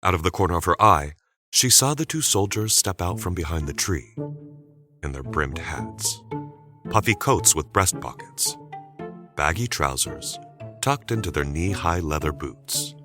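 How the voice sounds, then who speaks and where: A male storyteller with a very low voice and expressive, wide-ranging intonation. He reads scripted fiction with suspenseful, deliberate phrasing. Music plays clearly behind him. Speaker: storyteller, narrator. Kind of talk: dramatic scripted narration.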